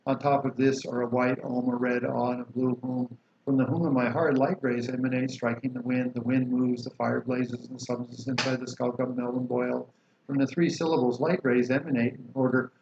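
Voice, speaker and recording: Guy leading a meditation session, calm explainy tone, echoey room, kinda muffled old recording w/ a bit of hiss. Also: medium pitch. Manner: calm, explanatory, drawn-out chanting of mantras. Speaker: Buddhist teacher leading practice. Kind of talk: guided meditation teaching and liturgy recitation. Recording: roomy, dull lo-fi recording with faint hiss.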